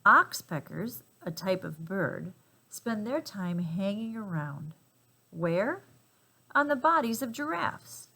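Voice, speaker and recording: A woman reading a children's picture book aloud in an expressive, emphatic and playful way for young listeners. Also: mid-range voice, slow pace, vocal fry. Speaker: storybook reader.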